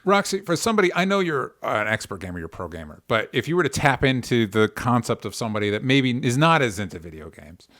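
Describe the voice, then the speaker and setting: Male podcast host, average pitch, relaxed conversational hosting with stop-start phrasing, dry recording. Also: quick pace, hardly any pauses, no room sound.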